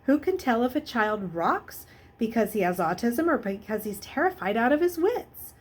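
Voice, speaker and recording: A woman's voice: a little high, very animated, moderate pace, husky. A woman voicing a wealthy older male schoolmaster in a storybook, with formal, rhetorical phrasing and a bitter, wry temper. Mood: wry, emphatic. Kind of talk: children's novel read aloud.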